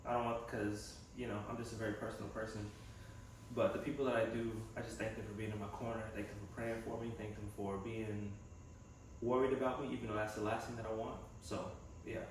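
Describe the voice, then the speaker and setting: A man's voice: fairly low pitch, little pitch movement, fairly quick. A young man talking casually in a personal vlog, reflective and rambling as he thinks aloud in an echoey room. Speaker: vlogger and physical therapy assistant student. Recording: echoey room from a distance, faint noise.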